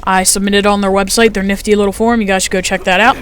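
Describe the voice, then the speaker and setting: A fifteen-year-old boy with a light, high-sounding voice, chatting casually through his gameplay commentary with lots of fillers. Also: level tone, fast, a bit bright, smooth. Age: teens. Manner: chatty, rambling, frequent fillers.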